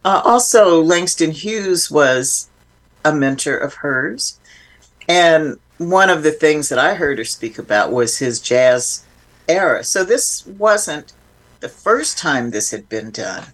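A woman's voice: low voice, expressive, slow pace. A woman speaking as an interview guest, explaining informally and with emphasis in a reflective, conversational way.

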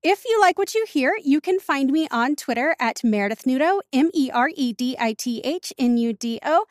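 Female guest actor, podcast outro, high bright-pitched voice, very dry recording. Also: animated, normal speed, dry recording. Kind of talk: podcast sign-off, polite self-introduction.

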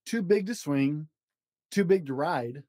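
Man, storybook reader, very lively intonation, mellow tone, dry close sound, expressive delivery for young children. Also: fairly high pitch, unhurried, no room sound. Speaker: podcast host reading picture books aloud.

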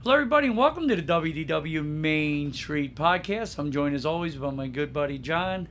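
A man's voice: a little high, lively intonation, brisk, fairly bright tone. A man hosting a casual podcast chat with a scratchy sore throat, blunt and joking, often calling his co-host by name.

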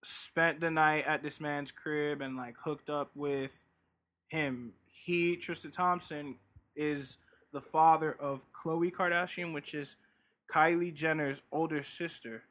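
A man chatting loosely on a podcast, loud and lively, leaning on slang as he riffs. His voice sits a touch high, and the tone is bright and forward. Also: takes it slowly. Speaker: podcast co-host. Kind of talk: casual podcast chat.

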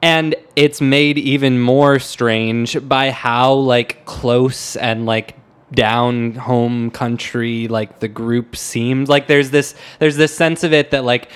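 A man co-hosting a casual music discussion, speaking in hesitant, halting phrases with repeated words. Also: mid-range voice, fairly even intonation, clean tone. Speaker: podcast co-host.

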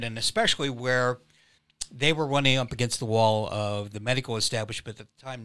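Playwright guest, reflective and hesitant, bright forceful tone. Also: male voice, on the low side, dry recording. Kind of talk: casual podcast chat.

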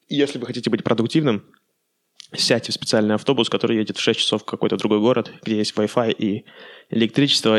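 Low gravelly-voiced man, rambling podcast host. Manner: rambling, relaxed, many fillers and English words mixed in. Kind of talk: casual solo podcast monologue.

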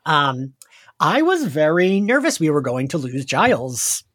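Casual, chatty podcast host, medium pitch. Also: big pitch swings, a little slow, fairly bright tone. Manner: conversational, heavy use of "like".

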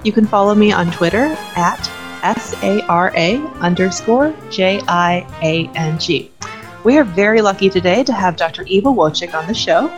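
A woman's voice: mid-range voice, lively intonation, normal speed. A woman hosting a podcast interview, friendly and enthusiastic, reading a scripted introduction. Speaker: podcast host. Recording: dull lo-fi audio with faint music.

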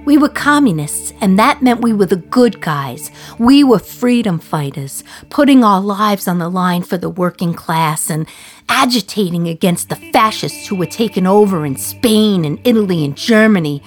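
A woman playing an imprisoned communist young mother in a radio play monologue, wry, confiding and reflective, with dramatic pauses. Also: mid-range voice, lively intonation, soft background music, natural voice. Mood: proud, glowing. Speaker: actor in a solo radio play.

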